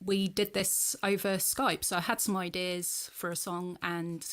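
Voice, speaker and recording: A woman singer-songwriter chatting softly and singing her ukulele song on a video call. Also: fairly low pitch, little pitch movement, clear, resonant tone. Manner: soft-spoken, quick mumbled asides. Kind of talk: casual chat and ukulele song performance. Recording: roomy echo, far from mic, loud music.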